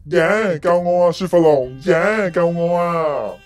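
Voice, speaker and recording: Casting: male actor as a self-admiring, bashful young beauty, campy and whining, slightly high, Hong Kong accent, radio comedy. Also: slow, faint music behind. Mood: whining, pleading. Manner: coy, whiny, campy. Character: vain, coy damsel, young woman courted by gangsters. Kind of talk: comic radio drama acting.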